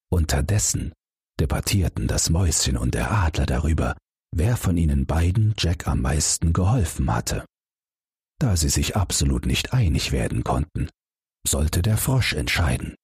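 A man reading a bedtime fairy tale aloud as an audiobook narrator, calm and expressive. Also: very deep voice, husky, no room sound, clean studio sound.

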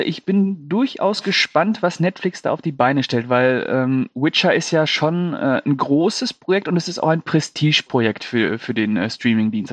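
A man's voice: medium pitch, no echo. A man co-hosting a film news show in casual, slangy conversation.

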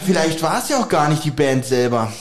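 A man's voice: a little high, lively intonation, brisk. A young man co-hosting a casual podcast, joking as he recaps a radio play and briefly acts out its characters. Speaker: podcast co-host.

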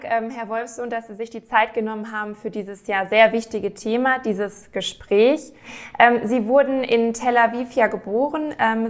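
A woman's voice: a little high, dry recording. A woman hosting an interview podcast, introducing her guest and putting questions to him.

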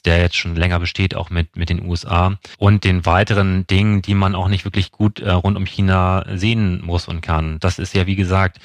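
Low, even-voiced man, explaining at length. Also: no room sound. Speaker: investment expert guest. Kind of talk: analytical interview commentary on markets.

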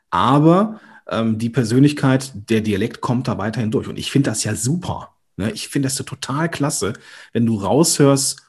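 A man's voice: lowish voice, a little mellow, slightly rough. A man hosting a casual podcast conversation, playful and stumbling a little over his words. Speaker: podcast host.

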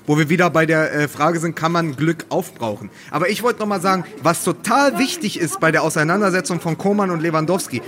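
A man co-hosting a casual football talk podcast, speaking in a wry, halting way and often repeating words as he goes. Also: a little high, a bit bright. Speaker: podcast co-host.

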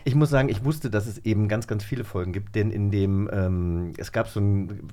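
A man's voice: lowish voice, moderate pace, warm tone. A man co-hosting a podcast in casual studio conversation. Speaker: podcast co-host. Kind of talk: casual chat. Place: recording studio.

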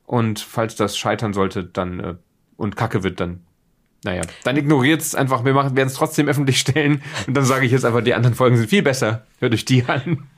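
A man's voice: mid-range voice, fairly even intonation, brisk. A man hosting a podcast, explaining things casually and off the cuff in a rambling, self-deprecating way. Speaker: podcast host. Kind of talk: casual unscripted podcast introduction.

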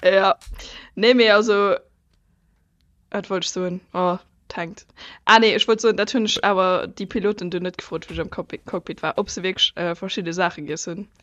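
A woman's voice: medium pitch, steady tone, moderate pace, fairly bright tone, no echo, lo-fi sound. A woman with a Luxembourgish accent co-hosting a podcast in casual chat, laughing often and breaking off into restarts. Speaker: podcast co-host.

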